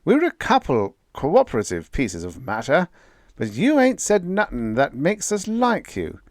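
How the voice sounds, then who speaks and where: A man's voice: fairly high pitch, wildly swooping pitch, measured pace, dry recording, studio quality, pitched above the performer's own voice, exaggerated voice. A man voicing a scared but dogged young man who talks like a salesman. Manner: brash, folksy.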